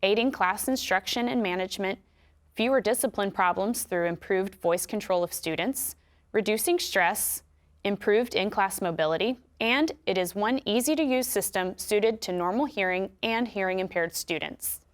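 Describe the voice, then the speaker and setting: A woman with an American accent giving scripted instructions in a clear, measured way. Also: mid-range voice. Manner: clear, measured explanatory delivery. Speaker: instructional video narrator.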